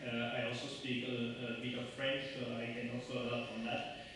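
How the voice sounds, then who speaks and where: A man's voice: mid-range voice, completely flat delivery, normal speed, a bit bright, a little hiss or hum. A middle-aged man whose first language is Dutch, giving a hesitant conference talk in a lecture hall with many ums and restarts. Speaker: conference speaker. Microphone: lectern mic, far camera. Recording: echoey hall from far off.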